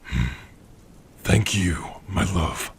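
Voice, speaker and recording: Husky, slow-talking orc blacksmith, played by a man. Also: low voice, lively intonation, exaggerated voice. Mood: tender, relaxed. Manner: unhurried, tender, with soft sighs and hums. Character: gentle, protective male orc blacksmith, devoted partner. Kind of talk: ASMR roleplay addressed to the listener. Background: rain.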